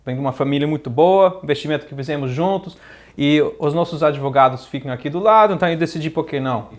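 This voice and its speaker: A man's voice: a little high, quick pace, lightly acted. A man playing a smug, boastful gringo posing as a politician in a comedy sketch, speaking with an American accent. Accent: American English. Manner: smug, brags, crude innuendo. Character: boastful fake Trump, later a scheming rival gringo.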